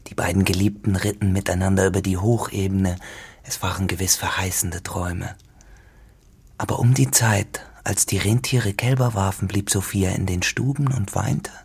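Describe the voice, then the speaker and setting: An actor playing a lonely, accusing farmer in a literary radio drama, with a husky voice. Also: male voice, low voice, steady tone, moderate pace, darkish tone, full character voice. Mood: accusing, grave. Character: lonely, accusing, menacing male farmer, a spurned rival.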